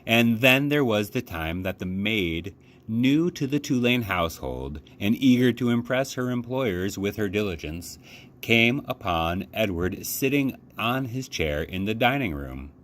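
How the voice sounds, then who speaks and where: A man reading a children's book aloud as a storytime host, with measured, deliberate phrasing and dramatic emphasis. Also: fairly low pitch, lively intonation, a bit bright.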